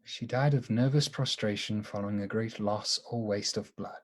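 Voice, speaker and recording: An actor playing an impatient, plain-spoken doctor in a radio play, bluntly demanding answers. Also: male voice, on the low side, normal speed, lightly acted. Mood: guarded.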